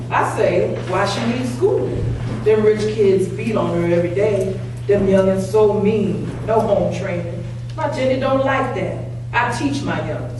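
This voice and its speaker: A woman's voice: medium pitch, natural voice. A proud, plainspoken Southern mother from poor farm country, played by a woman in a play reading. She talks in blunt, clipped, folksy phrases and is fiercely protective. The recording is distant, with heavy room echo and faint noise.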